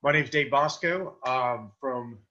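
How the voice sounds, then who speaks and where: A man's voice: medium pitch, takes it slowly, sharp tone. Earnest man on a muffled video call. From Delaware, US. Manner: earnest, plain-spoken, many fillers. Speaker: political candidate. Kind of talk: campaign speech on a video call. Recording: dull lo-fi video call audio.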